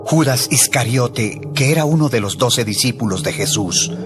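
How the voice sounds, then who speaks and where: A man narrating a dramatized Bible reading with expressive storytelling. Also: mid-range voice, normal speed. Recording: music bed throughout.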